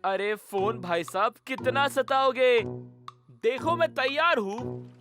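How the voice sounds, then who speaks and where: An excitable cartoon reserve fireman, pitched very high for a male voice. He comes across as eager to the point of overdoing it. Music is clearly heard behind him. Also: slow pace, heavily acted. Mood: impatient. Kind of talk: animated children's cartoon dub.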